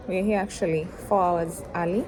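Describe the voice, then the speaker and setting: A woman's voice: on the low side, steady tone, moderate pace, noisy background. A young woman chatting casually to camera as a travel vlogger. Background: background music.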